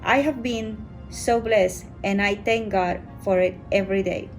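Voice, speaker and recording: Woman, mid-pitched voice. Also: measured pace, faint music behind, low-quality recording. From Honduras. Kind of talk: prepared personal testimonial.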